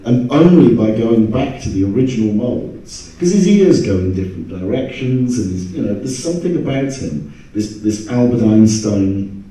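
A man's voice: lowish voice, expressive, normal speed, dark tone. A man telling anecdotes casually as a panelist at a convention. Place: stage in a hall, recorded from audience camera. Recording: very echoey hall, distant microphone.

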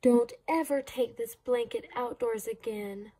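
A woman's voice: a little high, a little slow, dark tone, breathy edge. A woman voicing a firm but caring mother dog in a storybook read-aloud. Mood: scolding. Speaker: guest reader.

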